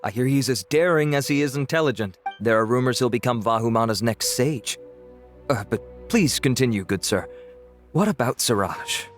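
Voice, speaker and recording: A man's voice: medium pitch, soft background music, close to an everyday voice. A man playing a polite, helpful official in a video game, courteous and inquisitive. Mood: curious. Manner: courteous, measured. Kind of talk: video game dialogue acting.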